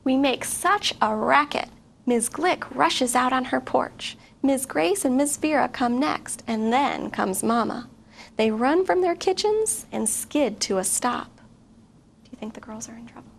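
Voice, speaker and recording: A woman's voice: high voice, animated, measured pace, creaky. A woman reading a picture book aloud expressively to children. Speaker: guest story reader. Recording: faint background noise.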